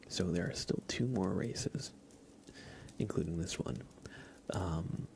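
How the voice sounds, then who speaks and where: A man's voice: sits low, slow pace, vocal fry, darkish tone, slight background noise. A man hosting an ASMR live stream, giving mostly whispered, mock-dramatic game commentary.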